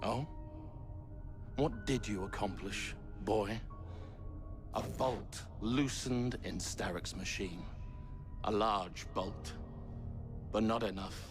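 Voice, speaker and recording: A cold, contemptuous older industrialist, speaking slowly and deliberately in a lowish voice. He sounds like a video game villain who looks down on everyone. Music plays clearly behind him. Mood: scornful. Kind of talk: video game cutscene acting.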